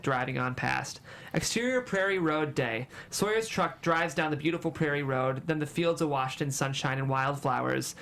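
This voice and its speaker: A screenplay narrator at a table read, with a medium-pitched voice. The stage directions are delivered as dramatic storytelling, scene by scene. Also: fairly bright tone.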